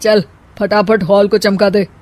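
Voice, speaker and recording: A woman voicing a kind but shrewd middle-aged mother-in-law in an animated comedy story, talking in a homely, elder-sister way. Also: mid-range voice, unhurried, lightly acted. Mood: bossy.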